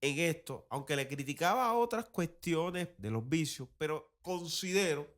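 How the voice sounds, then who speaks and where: A man's voice: a little high, lively intonation, a little slow, a bit bright. Conversational man giving opinions. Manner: conversational, critical, colloquial. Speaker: podcast host and teacher. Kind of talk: casual opinionated commentary.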